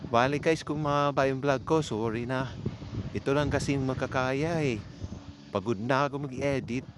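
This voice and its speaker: A man's voice: medium pitch, moderate pace. A man with a Filipino accent narrating a vlog in a casual, chatty way, speaking straight to his viewers. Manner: informal, chatty, addresses viewers. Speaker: vlogger. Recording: clearly noisy amateur recording.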